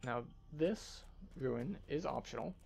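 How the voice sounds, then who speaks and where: A man's voice: mid-range voice, lively intonation, takes it slowly, vocal fry, a little mellow. A man giving commentary as host of a video game playthrough. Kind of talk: video game commentary and dialogue read-aloud.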